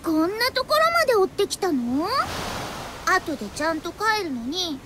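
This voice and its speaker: A woman's voice: extremely high pitch, sing-song, moderate pace. A woman voicing an otherworldly young female being in a video game, playful yet solemn and knowing. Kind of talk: anime video game voice acting. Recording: noisy game ambience under voice.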